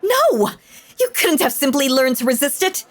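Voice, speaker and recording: A woman's voice: sits high, sing-song, moderate pace, no echo. A woman playing a possessive, sinister stage magician mistress in an audio roleplay, theatrical and full of silky menace. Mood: furious, betrayed. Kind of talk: dramatic audio roleplay acting.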